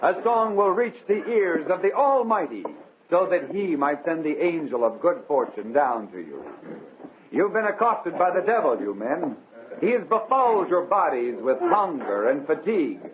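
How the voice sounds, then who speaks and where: Through a narrow old radio recording comes a devout mission sergeant with a fairly high male voice. He preaches in formal, exhorting phrases, courteous yet firm, in the style of vintage radio drama. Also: big pitch swings, normal speed, crowd noise. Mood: fervent exhorting. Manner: exhorting, sermonizing, polite. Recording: old thin band-limited radio recording.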